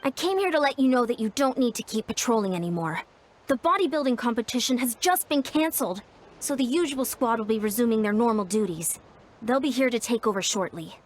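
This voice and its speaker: A woman's voice: fairly high pitch, expressive, brisk, a little hiss or hum, natural voice. A woman voicing an earnest, friendly young female warrior in a video game.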